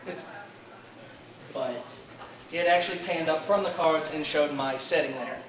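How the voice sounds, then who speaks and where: A man giving an informal fan panel lecture at a convention, a bit halting and given to joking asides. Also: fairly high pitch. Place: indoor convention panel room. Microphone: distant camera mic. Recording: echoey convention room, distant muffled camera mic, crowd. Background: audience crowd noise.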